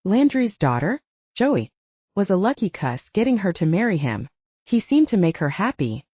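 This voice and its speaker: A woman's voice: medium pitch, big pitch swings, normal speed, mellow, breathy edge, dry recording, dull audio. A woman voicing an eccentric, brusque male tailor in an audiobook, teasing and bossy. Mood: grumbling.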